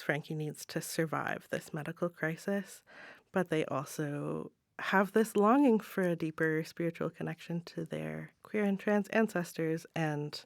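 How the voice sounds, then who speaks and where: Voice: medium pitch, creaky, dry recording, studio quality. A guest playwright with a female-sounding voice talking reflectively and thoughtfully about their work in an interview.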